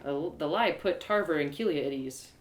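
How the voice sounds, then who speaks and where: A woman reading a first-person fantasy story live, in an expressive, confiding, dramatized narration. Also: deep voice, normal speed. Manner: expressive, confiding, acted-out delivery. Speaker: storyteller and audio drama performer.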